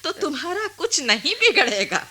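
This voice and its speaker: An actress playing a wise, affectionate old village grandmother in a Hindi radio play, slow and emphatic, gently chiding. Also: sits high, sing-song, full character voice. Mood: affectionate, teasing.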